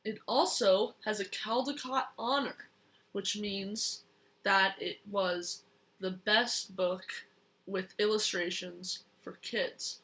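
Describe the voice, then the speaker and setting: A young child reading a picture book aloud in a deliberate, expressive way. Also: medium pitch, rough recording. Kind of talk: storybook read-aloud.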